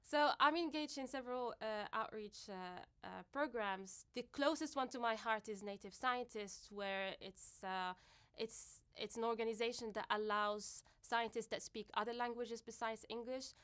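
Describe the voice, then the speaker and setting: Clear, smooth-voiced woman. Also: fairly high pitch, fairly even intonation, normal speed, fairly bright tone. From Morocco. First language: Arabic. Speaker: scientist doing outreach. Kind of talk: interview talk about own work.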